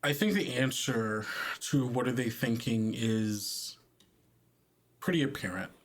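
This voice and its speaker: Halting conversational male game master. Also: medium pitch. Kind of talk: narrating a tabletop roleplaying game.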